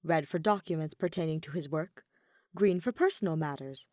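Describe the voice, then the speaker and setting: A woman's voice: sits low, normal speed, darkish tone, dry recording, clean studio sound. A woman reading scripted fiction as an audiobook narrator.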